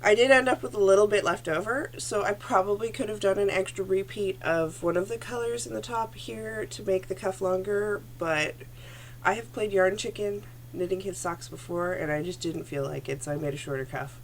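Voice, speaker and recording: A woman's voice: medium pitch, steady tone, moderate pace, a little hiss or hum. A woman hosting a knitting podcast from home, chatting casually to her computer camera with a phlegmy throat, rambling and correcting herself as she goes. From Canada. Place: home room. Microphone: computer camera at desk.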